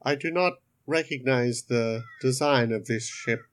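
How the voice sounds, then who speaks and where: A man voicing a formal, analytical technological being in a role-play podcast, with measured, stiff phrasing. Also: mid-range voice, natural voice.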